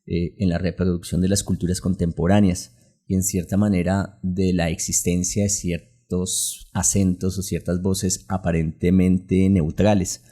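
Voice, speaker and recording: Deep, flat-voiced academic man. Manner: formal, measured, academic phrasing. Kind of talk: academic interview commentary.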